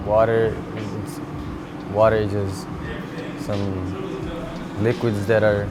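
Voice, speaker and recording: A man's voice: lowish voice, flat delivery, very slow, heavy background noise. A man from Thailand answering casually in an on-camera interview. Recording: music behind speech.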